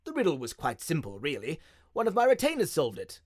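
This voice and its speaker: A man's voice: fairly high pitch, wildly swooping pitch, fairly quick, dry recording, clean studio sound. A man voicing a plump, genial, slightly nervous king with a chatty, lilting delivery. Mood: genial, amused.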